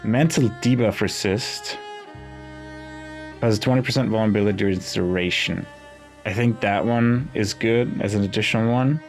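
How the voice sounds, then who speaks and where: A man's voice: lowish voice, normal speed. A man doing casual game commentary, thinking aloud in a musing, hesitant way as he reads game text. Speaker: gaming video host. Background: game music.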